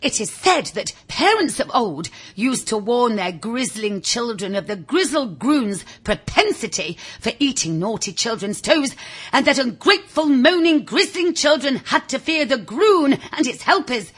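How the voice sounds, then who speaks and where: A woman reading a children's story in a theatrical, confiding way, with a husky, raspy voice, speaking directly to the listener. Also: on the high side, big pitch swings, measured pace, a bit bright. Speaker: audiobook reader. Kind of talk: animated scripted children's story narration.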